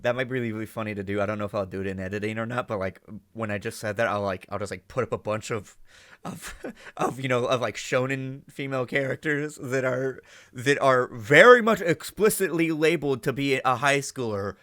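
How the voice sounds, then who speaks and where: Bright-voiced man doing casual reaction commentary. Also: medium pitch, a little fast, no echo, clean studio sound. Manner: animated, swearing, thinks aloud.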